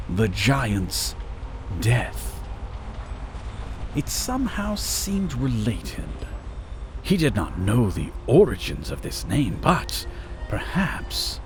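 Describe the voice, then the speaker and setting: A man narrating a scripted fantasy story as a dramatic narrator. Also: medium pitch. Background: music.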